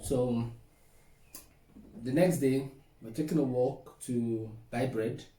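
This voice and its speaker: A man's voice: medium pitch, slow pace, dark tone. A middle-aged man with a Nigerian accent, playfully teasing as he tells stories in casual chat. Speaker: co-host of a couple's relationship vlog. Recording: echoey room, distant microphone.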